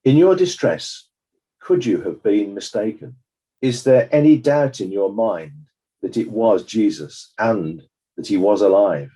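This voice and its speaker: A man playing a formal, probing examiner putting deliberate questions in a courtroom drama. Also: fairly low pitch, lively intonation, warm tone. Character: formal, probing male examiner at a legal inquest.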